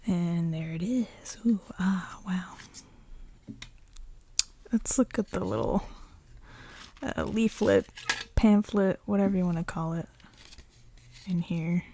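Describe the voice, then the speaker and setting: A woman speaking softly and often in a near whisper, in a relaxed, chatty ASMR show-and-tell. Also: lowish voice, takes it slowly, pauses often, slight background noise. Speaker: ASMR video creator showing a collection.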